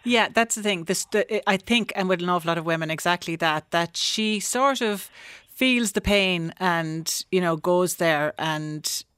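A woman's voice: on the low side, a little slow, fairly bright tone, smooth, no room sound, studio quality. An Irish woman hosting a radio phone-in, gushing with enthusiasm and laughing easily. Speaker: radio host.

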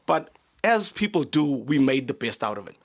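A man's voice: mid-range voice, moderate pace, creaky. A man from South Africa with a South African accent, a comedian guest telling stories openly and laughing often. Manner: open, animated storytelling, laughs often. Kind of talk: casual radio interview. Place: radio studio.

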